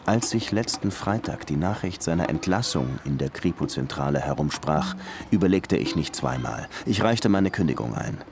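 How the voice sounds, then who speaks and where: Deep-voiced, weary police detective. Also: male voice, animated, normal speed, gravelly, exaggerated voice. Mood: brooding. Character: weary disillusioned police lieutenant in his thirties, would-be writer. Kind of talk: radio crime drama, first-person narration and dialogue. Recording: music behind the voice.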